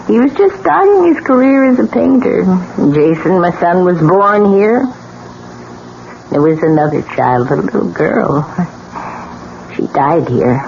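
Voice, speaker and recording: Slow, grim older widow. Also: female voice, lowish voice, big pitch swings, vocal fry, a little mellow, exaggerated voice. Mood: grave, haunted. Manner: slow, solemn, ominous delivery. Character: grim older widow issuing dire warnings of death. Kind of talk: 1970s radio drama acting. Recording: muffled, noisy 1970s radio drama recording.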